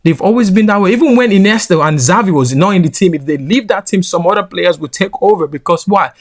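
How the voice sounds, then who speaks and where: A man's voice: high-pitched, expressive, fast talker. Indignant Nigerian man ranting. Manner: indignant, rising into emphatic rants. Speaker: football commentator. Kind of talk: reaction commentary.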